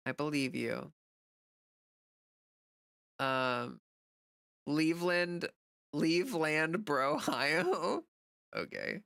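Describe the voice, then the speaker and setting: A woman's voice: sits low, big pitch swings, drawn-out words, vocal fry, long pauses, fairly bright tone, no echo, studio quality. An American woman livestreamer chatting casually and off the cuff, rambling informally as she answers viewer comments. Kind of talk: casual unscripted chat.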